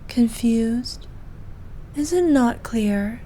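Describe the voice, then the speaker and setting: A woman playing a regal, possessive evil queen who dotes on her knight in an intimate ASMR roleplay, speaking formally and softly, at times in breathy whispers. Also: fairly high pitch, animated, a little hiss or hum. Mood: teasing, fond. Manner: regal, slow, archaic formal speech.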